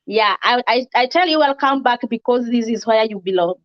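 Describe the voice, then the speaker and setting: Woman host, casual interview, fairly high voice, slightly muffled lo-fi sound. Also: lively intonation, fairly quick.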